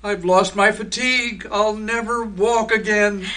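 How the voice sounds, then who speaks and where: A man's voice: high voice, fairly even intonation, a little slow, sharp tone. A man acting in radio theater as a stubborn, dreamy husband becoming a tree, speaking formally and solemnly. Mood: exultant.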